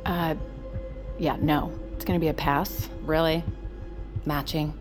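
An actress playing an anxious, exasperated woman trapped underground in an audio drama, blunt and halting. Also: low-pitched, level tone, over music. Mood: unimpressed. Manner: blunt, sweary, halting when flustered. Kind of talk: naturalistic audio drama acting.

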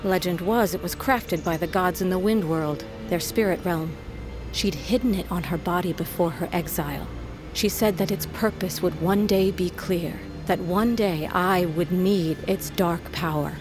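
Woman acting grieving fantasy mom, middle-aged, lowish voice, grave and measured, music behind her. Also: close to an everyday voice. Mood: solemn, reverent. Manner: measured, weighty, storytelling delivery. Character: grieving, solemn mother, proud wolf queen's daughter. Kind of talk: fantasy audio drama acting.

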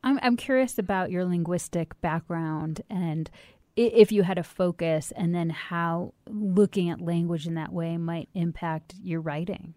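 A woman's voice: lowish voice, measured pace, mellow, no room sound. A woman interviewer asking curious, searching questions.

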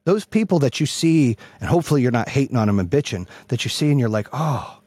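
Guy doing a pushy motivational rant, rough husky voice, sweary, repeats himself for punch, really dry sound. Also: medium pitch, animated, fast talker, warm tone, no room sound. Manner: brash, profane, emphatic, repeats phrases for punch. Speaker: motivational speaker and host. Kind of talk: motivational monologue.

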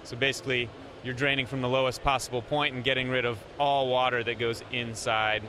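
A man representing a company, explaining product features in a steady way with frequent pauses. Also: medium pitch, slight background noise.

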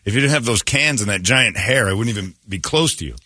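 Low raspy guy doing blunt joke riffs on a morning show, voice jumps around a lot. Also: lively intonation, fast, bright, forceful tone, no room sound, clean studio sound. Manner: blunt, mocking, breaks into put-on voices. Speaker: radio morning show host. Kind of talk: comedic banter, riffing.

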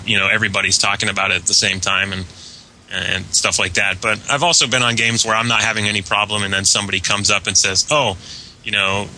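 A man from the United States chatting casually as a tech-minded podcast guest, explaining things in a hesitant, measured way. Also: lowish voice, sharp tone, slight background noise, rough recording. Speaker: guest and server admin. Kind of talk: casual technical chat.

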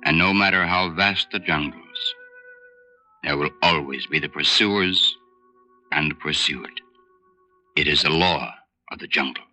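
An actor playing a solemn narrator in an old-time radio drama, with a slow, portentous delivery. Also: male voice, a bass voice, pushed and bright, faint music behind, heavily acted.

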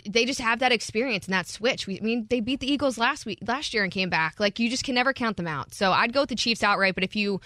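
A chatty woman with a Philadelphia accent breaks down betting picks at a quick clip. Her voice is bright and pushy, sitting in the middle of the range. The studio sound is close and dry. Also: on the high side, dry recording. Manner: fast, chatty, laughing storyteller. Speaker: sports betting analyst guest. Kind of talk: fast radio studio chat. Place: radio studio.

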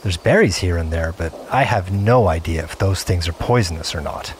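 A man's voice: deep voice, normal speed, a little hiss or hum, close to an everyday voice. A man playing an earnest, obsessive gamer in an audio drama, confiding his play session in short, broken-up phrases. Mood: wary, tense. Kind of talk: first-person scripted audio drama narration.

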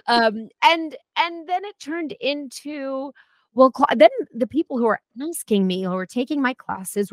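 A woman in her forties, guest on a chat show, telling a lively story with many restarts and asides. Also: medium pitch, dry recording. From United States. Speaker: guest, food historian and cooking teacher. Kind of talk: casual interview chat.

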